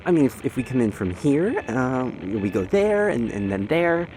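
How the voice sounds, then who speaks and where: A man's voice: mid-range voice, sing-song, normal speed, natural voice, poor audio. A man voicing a nervous, polite, apologetic doctor who stammers and hesitates in a fantasy audio roleplay. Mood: uneasy, puzzled. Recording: noticeable background noise and ambience.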